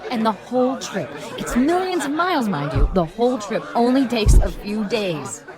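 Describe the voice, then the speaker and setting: A woman's voice: a little high, big pitch swings, normal speed, music in the background. An actress playing a woman passenger who speaks admiringly about the trip in a radio drama. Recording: crowd noise behind. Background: crowd chatter.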